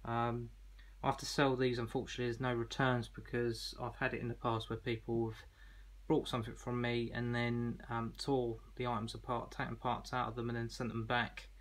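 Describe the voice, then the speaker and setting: A man's voice: mid-range voice, completely flat delivery, normal speed, poor audio. A man giving an informal product demonstration as an online seller, explaining in a conversational, slightly hesitant way.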